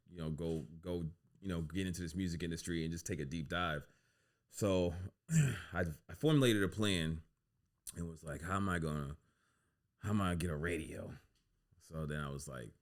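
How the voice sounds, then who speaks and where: A man, a guest on a podcast, telling stories from his life in a relaxed, casual way with lots of "you know what I'm saying". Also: low-pitched, smooth. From United States. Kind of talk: casual storytelling interview.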